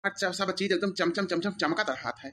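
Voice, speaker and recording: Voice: mid-range voice, moderate pace, fairly bright tone, lightly acted. A performer voicing a smug, mocking young husband in an Awadhi cartoon comedy, teasing and boastful with an Awadhi accent. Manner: teasing, boastful, rambling mockery.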